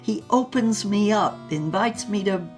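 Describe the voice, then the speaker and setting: An actress playing an older, passionate former movie star, reminiscing in a dramatic, savoring way in an audio-drama memoir. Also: female voice, medium pitch, measured pace, over music, close to an everyday voice. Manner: dramatic, savoring, swooping emphasis.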